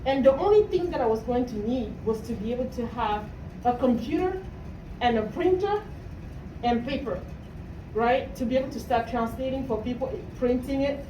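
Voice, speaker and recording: High-voiced woman speaking in a roomy space. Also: animated, normal speed, dark tone. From Cameroon. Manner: asks the audience questions, often adds "right?". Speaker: motivational speaker, coach. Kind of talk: motivational talk to students. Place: room at a university talk. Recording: roomy, distant mic, faint noise.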